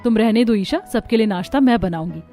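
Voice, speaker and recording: A woman's voice: fairly high pitch, lively intonation, fast talker, dark tone, faint music behind, lightly acted. A woman voicing a chatty, self-assured young daughter-in-law in a Hindi cartoon family story, speaking expressively. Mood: insistent.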